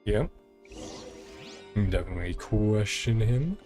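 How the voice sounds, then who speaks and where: A man's voice: on the low side, lively intonation, slow pace. A man doing casual game playthrough commentary, muttering terse fragments and offhand reactions over game music. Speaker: gaming streamer.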